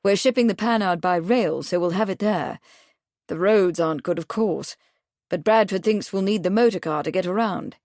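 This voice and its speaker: A woman playing a reserved gentleman husband who speaks in a calm, measured way. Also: no room sound, clean studio sound, close to an everyday voice. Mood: matter-of-fact. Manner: measured, low and earnest.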